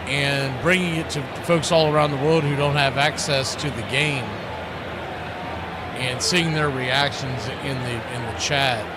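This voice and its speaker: An American man calling baseball play-by-play, mixing pitch calls with casual chat. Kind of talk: live sports commentary with casual chat. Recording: loud background noise.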